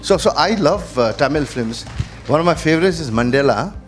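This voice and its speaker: A man's voice: a little high, lively intonation, a little fast, faint music behind. A man moderating a festival panel, joking with the guests and pressing his questions. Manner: jokey, pushes for answers.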